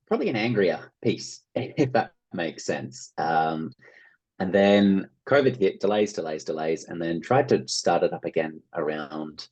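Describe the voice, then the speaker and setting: Deep, husky Australian man chatting. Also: a little mellow, poor audio. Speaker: interview guest, playwright and actor. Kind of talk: casual interview chat.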